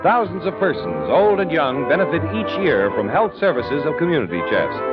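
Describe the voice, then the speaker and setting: An American man delivering scripted announcements in a 1940s radio style. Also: a little high. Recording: dull vintage radio recording. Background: music.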